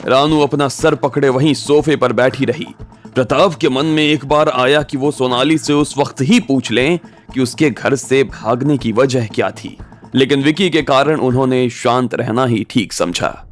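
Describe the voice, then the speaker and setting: A man's voice: medium pitch, measured pace. A man narrating a scripted audio story as its storyteller. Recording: music bed behind the voice.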